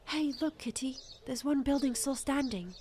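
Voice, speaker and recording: Woman playing a high-voiced, naive small-town friend. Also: moderate pace, darkish tone, a little hiss or hum, natural voice. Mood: earnest, dismayed. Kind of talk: improvised radio drama acting.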